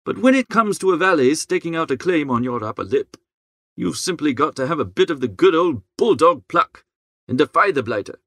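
A man reading an audiobook as a chatty, breezy young English gentleman, full of jaunty old-fashioned upper-class slang. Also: fairly high pitch, lively intonation, fairly quick, no room sound. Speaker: narrator. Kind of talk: scripted first-person audiobook narration.

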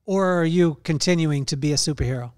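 A man in his thirties hosting a podcast, telling a story in an animated way over a call. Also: a little high, fast talker, no room sound, clean studio sound. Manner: animated storyteller, acts out quotes. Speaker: podcast host. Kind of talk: casual interview talk, storytelling. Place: home office. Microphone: headphones and mic at home.

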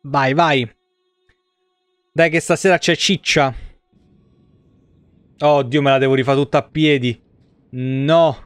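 Male streamer, 30s, smooth close dry sound, informal, joking, free with swearing. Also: mid-range voice, steady tone, takes it slowly, pauses often, no echo. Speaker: live streamer. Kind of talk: casual gameplay commentary. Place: indoor room, seated at a desk.

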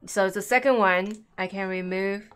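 A woman's voice: mid-range voice, normal speed, clean tone. A middle-aged woman casually thinking aloud through her moves as she co-hosts an indoor board game playthrough. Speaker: board game show co-host and player. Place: indoor room at a game table.

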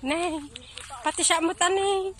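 A woman's voice: extremely high pitch, steady tone, unhurried, fairly bright tone. A woman chatting playfully outdoors in a cornfield, caught by someone else's phone camera. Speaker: companion at the harvest. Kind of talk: casual chat. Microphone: another person's phone camera.

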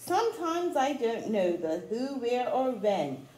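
A middle-aged woman reading a storybook aloud to young children, with chatty asides, in an expressive, encouraging way. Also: a little high, slow. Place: small room at home, indoors. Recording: echoey small room.

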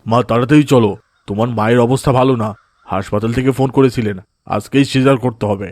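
A man's voice: mid-range voice, quick pace, a little mellow, no room sound, lightly acted. A man voicing an adult father in a cartoon, dejected and easily swayed, with a hesitant, earnest manner. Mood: urgent. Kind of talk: cartoon voice acting in moral story.

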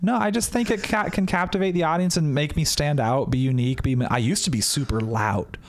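A man's voice: a little high, a little fast. A young man from Indiana, a comedian guest on a podcast, talking casually and hesitantly with many restarts, in a small studio on a close mic. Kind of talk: casual chat. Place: small studio room, indoors. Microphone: studio mic with headphones.

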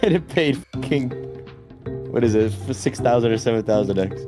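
A man's voice: medium pitch, expressive, brisk, music in the background. A man joining as a guest, casually explaining how a slot game works and swearing now and then. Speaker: guest streamer. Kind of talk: casual gaming chat.

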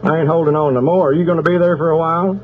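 Over a tinny, noisy phone line comes a man putting on an angry Southern country husband from Oklahoma. He rambles in a folksy drawl, deadpan and spoiling for a fight, in a slightly high voice. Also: racing, close to an everyday voice. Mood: threatening. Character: aggrieved, belligerent country husband threatening a whooping. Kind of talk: prank phone call.

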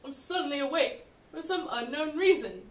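A woman's voice: high voice, animated, normal speed. A woman playing an earnest, trusting, weary father in an amateur puppet show. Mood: trusting, dopey. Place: indoor room with puppet stage. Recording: echoey room, distant mic.

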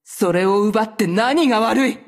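A woman voicing a proud, vengeful sorceress from a video game, speaking in a cold, haughty, deliberate manner. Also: fairly high pitch. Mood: cold, scornful. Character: proud, vengeful sorceress who betrayed her cursed clan. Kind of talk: video game character voice lines in Japanese.

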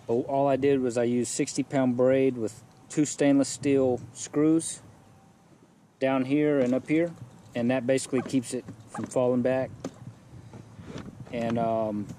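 A man's voice: medium pitch, a little hiss or hum. A man casually walking through his fishing kayak setup outdoors in a yard, talking plainly with frequent fillers, recorded on an action camera. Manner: relaxed, plain-spoken, many fillers. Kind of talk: casual gear walkthrough.

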